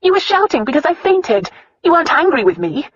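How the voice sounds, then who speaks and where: A woman's voice: high-pitched, big pitch swings, a little fast, no room sound, muffled recording, voice pushed up. A narrator voicing a proud, fiery young noblewoman who talks in an indignant, demanding way.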